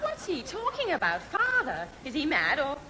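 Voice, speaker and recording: A woman's voice: sits high, huge pitch swings, moderate pace, sharp tone, some chatter behind, exaggerated voice, low-quality recording. A woman playing a haughty, exasperated, bossy young older sister in a 1970s radio sitcom. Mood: furious. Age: young adult. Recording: hissy old radio recording, studio audience.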